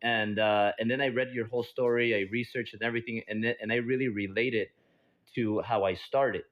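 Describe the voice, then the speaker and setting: A man whose first language is Spanish, from the United States, talking earnestly and haltingly with many fillers. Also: medium pitch, fairly even intonation. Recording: dull lo-fi audio.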